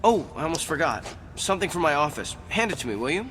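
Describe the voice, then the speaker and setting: Bright, tense, troubled businessman. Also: a little high, sing-song, fast talker, slight background noise. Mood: polite. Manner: wry, self-deprecating. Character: troubled, wry, self-doubting businessman. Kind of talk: audio drama acting.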